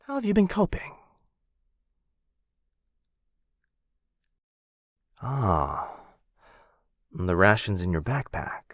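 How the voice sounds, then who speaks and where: A man performs a male dragon who keeps a human as a pet, in the style of boyfriend ASMR roleplay. The voice is very low and unhurried, captured close and dry. He is theatrically courteous and coaxing, with a possessive, condescending fondness. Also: wildly swooping pitch, no room sound, exaggerated voice. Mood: sheepish, concerned. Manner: mock-polite, coaxing, theatrical, condescendingly affectionate.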